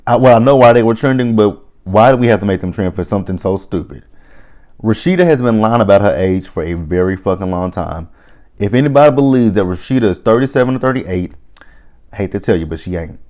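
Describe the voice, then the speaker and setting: Man, 30s, low voice; casual, opinionated TV recapper who rambles and curses. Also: expressive, fast talker, a little mellow. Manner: blunt, opinionated, swears freely; rambling with frequent restarts. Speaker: reality TV reviewer.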